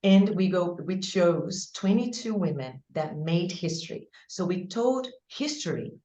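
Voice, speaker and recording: A middle-aged woman from Brazil, talking as an interview guest in a warm, laughing way with many restarts. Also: on the low side, lively intonation, unhurried, roomy sound. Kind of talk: casual interview.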